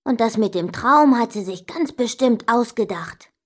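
A woman's voice: on the high side, unhurried. A woman voicing an indignant young child who tells stories about an older sister, expressively and straight to the listener. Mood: dismayed, grumbling. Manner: expressive, emphatic, addressing the listener directly. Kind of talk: scripted children's story narration.

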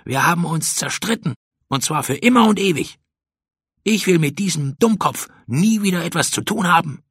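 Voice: medium pitch, lively intonation, moderate pace, a bit bright, husky, dry recording, studio quality, pitched above the performer's own voice. A narrator voicing an emphatic, hot-tempered young boy rabbit from a children's storybook. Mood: sulky, resolute. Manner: exclamatory, emphatic, aggrieved.

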